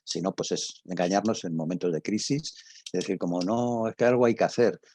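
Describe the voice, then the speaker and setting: An older man with an accent from Spain, giving an informal talk and answering questions, halting and emphatic, often repeating himself, with a husky voice. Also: deep voice, dark tone, slight background noise. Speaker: radio drama professor and guest speaker.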